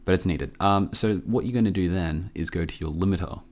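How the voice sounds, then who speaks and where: Low-voiced man giving an offhand tutorial. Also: a little mellow. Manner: offhand, halting, low-key. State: sick with a cough. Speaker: tutorial presenter. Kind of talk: casual screen-recorded software tutorial. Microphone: close microphone.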